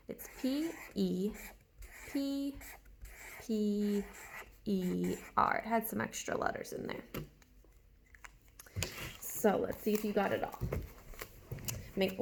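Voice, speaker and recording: A woman teacher speaks to young children in a gravelly, medium-pitched voice. She guides them through writing with simple questions and letter-by-letter spelling. Some noise sits behind her voice. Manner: instructional, guiding, asks questions and spells words out. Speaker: early elementary teacher. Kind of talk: read-along writing lesson for young children.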